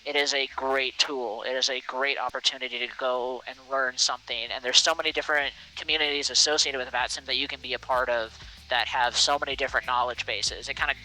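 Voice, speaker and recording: A man's voice: mid-range voice, steady tone, fairly quick, sharp tone, low-quality recording. A young man speaking casually and earnestly as an interview guest, an air traffic controller who encourages listeners and repeats words for emphasis. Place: small aircraft cockpit in flight.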